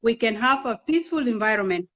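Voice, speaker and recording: Woman, 30s, raised in Kenya; earnest, persuasive interview guest, slightly higher voice, dull lo-fi sound. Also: expressive, fast talker, a bit bright. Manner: earnest, persuasive, asks rhetorical questions. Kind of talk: impassioned political talk.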